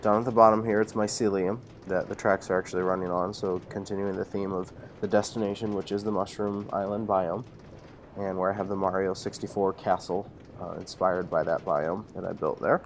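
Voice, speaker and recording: A man with a slight cold casually narrating a walkthrough of his game builds, relaxed and rambling with lots of fillers and self-corrections. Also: deep voice, fairly quick, a little hiss or hum. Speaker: channel host showing his Minecraft server builds.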